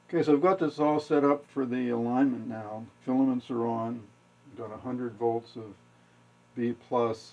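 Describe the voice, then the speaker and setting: A man giving a casual hands-on technical demonstration, thinking aloud in a halting, matter-of-fact way. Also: mid-range voice, a little mellow. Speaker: radio repair hobbyist demonstrating. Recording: dull lo-fi home video audio.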